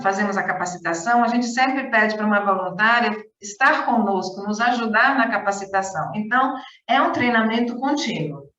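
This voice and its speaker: A woman's voice: medium pitch, fairly bright tone. A woman giving a chatty conference talk over a video call. She restarts often and repeats words for emphasis. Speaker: conference speaker, volunteer teaching coordinator. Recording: roomy, distant, dull lo-fi call audio.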